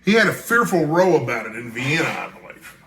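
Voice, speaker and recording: A man's voice: mid-range voice, big pitch swings, a little fast, pushed and bright, echoey room, a little hiss or hum, poor audio. A man voicing a blunt, detached, gossipy bacteriologist in an audiobook, with a dry, wry, bookish manner. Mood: gossipy, disapproving. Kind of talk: audiobook character dialogue.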